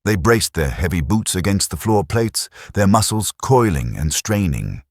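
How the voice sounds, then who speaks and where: A man narrating a science fiction audiobook in a grave, dramatic storytelling manner, with a husky voice. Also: low-pitched, very animated, unhurried, dry recording, clean studio sound.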